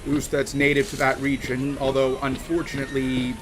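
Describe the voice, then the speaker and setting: A man chatting casually on a game livestream, talking in a halting, rambling way with many restarts and fillers. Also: mid-range voice, monotone, over music, low-quality recording. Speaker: streamer. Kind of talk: casual livestream chat while gaming.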